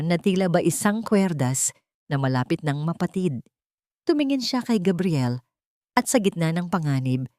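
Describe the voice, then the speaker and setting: Low-voiced woman narrating dramatically. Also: darkish tone, no room sound, clean studio sound. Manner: solemn, suspenseful.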